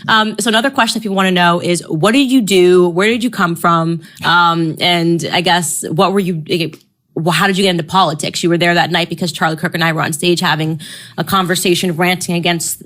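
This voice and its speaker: A woman's voice: fairly low pitch, fairly even intonation, brisk, fairly bright tone. A woman hosting a casual conversation. She is chatty, laughs easily and keeps things moving with questions. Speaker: host.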